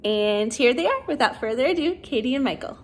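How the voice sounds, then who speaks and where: A woman's voice: high voice, animated, a little fast, heavy background noise. A woman hosting an informal show, introducing performers and asking them questions in a casual way. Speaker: show host. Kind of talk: casual spoken introduction and interview.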